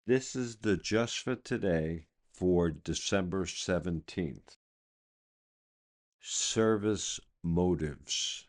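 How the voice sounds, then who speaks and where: A man reading a daily recovery meditation aloud in a measured, deliberate way. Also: low voice. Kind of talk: slow read-aloud meditation.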